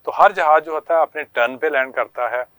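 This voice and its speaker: A man's voice: on the high side, brisk, a bit bright, no echo. A middle-aged Pakistani man giving explanatory interview answers as a guest expert, polite and deferential, often repeating his phrases. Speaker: guest expert, air traffic controller.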